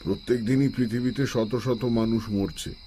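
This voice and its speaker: An actor playing a wise, calm, shrewd village holy man in an audio drama, speaking in a measured, philosophical way. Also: medium pitch, slight background noise, close to an everyday voice. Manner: measured, philosophical, chanting Sanskrit verses slowly. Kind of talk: Bengali audio-drama acting. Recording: steady night insect ambience behind.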